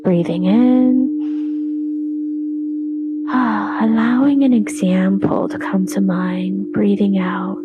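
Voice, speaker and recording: Very slow, soothing woman guiding meditation. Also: medium pitch, steady tone, phone-quality sound. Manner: soothing, unhurried, breathy trailing phrase endings. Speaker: yoga nidra meditation guide. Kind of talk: guided relaxation meditation. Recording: voice over background music.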